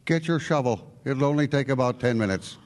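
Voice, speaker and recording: A man playing a blunt, folksy old pensioner in a radio drama, colloquial and gruffly matter-of-fact. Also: medium pitch, a little fast, long reverb tail, natural voice. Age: older.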